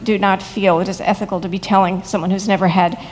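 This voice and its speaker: A woman speaking earnestly and in a measured way on a discussion panel. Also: medium pitch, little pitch movement, a little hiss or hum. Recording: long hall reverb.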